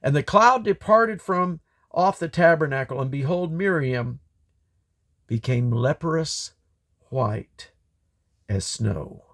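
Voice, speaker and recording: Gravelly man reading scripture aloud. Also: a little high, animated, slow pace, pauses often, fairly bright tone. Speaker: Bible reader. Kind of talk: scripture reading, King James English.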